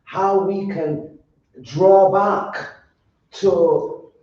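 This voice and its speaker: A woman's voice: mid-range voice, steady tone, drawn-out words, darkish tone. A woman with a broad Yorkshire accent speaking earnestly on a roundtable panel, often stopping and restarting her words. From Yorkshire, England. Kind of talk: roundtable discussion. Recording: echoey, distant, dull sound.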